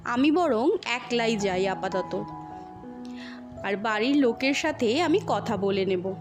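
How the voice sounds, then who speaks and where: A woman voicing a gentle, coaxing young husband who teases his new wife in an audio story. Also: fairly high pitch, animated, slow pace, music in the background.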